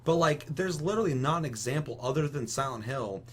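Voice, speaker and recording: A man with a mid-pitched voice talks as a podcast co-host in a casual, opinionated way. He argues vehemently and peppers it with heavy swearing, over a faint hum. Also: a little fast. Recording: audible steady hum and noise.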